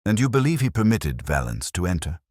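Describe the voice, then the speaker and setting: A man's voice: low voice, sing-song, brisk, a little mellow, no room sound, clean studio sound. A man voicing an attentive, questioning companion to a detective in an audiobook story.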